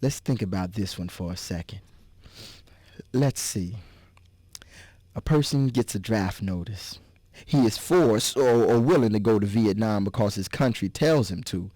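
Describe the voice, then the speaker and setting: A man's voice: lowish voice, a little slow, mellow, no echo. A man giving a dramatic reading as a wry, scarred army veteran. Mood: insistent. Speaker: reader.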